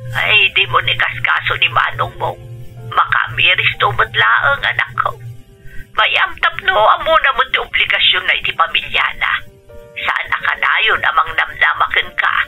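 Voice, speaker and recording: A reassuring older mother in an Ilocano radio drama. The voice is gravelly and very sharp, yet tender and soothing, with an Ilocano accent and music behind. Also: fairly low pitch, big pitch swings, normal speed, exaggerated voice, poor audio. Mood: consoling. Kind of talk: Filipino radio drama acting.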